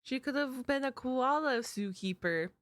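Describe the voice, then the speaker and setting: A woman's voice: high-pitched, lively intonation, a little slow, dry recording. A woman giving casual reaction commentary in an exclamatory, slangy way as a video host.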